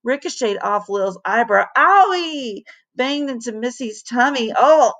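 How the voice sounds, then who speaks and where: Creaky-voiced woman reading a storybook aloud. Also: on the high side, normal speed. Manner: animated, dramatic emphasis on key words. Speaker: teacher reading aloud to children. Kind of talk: expressive storybook narration.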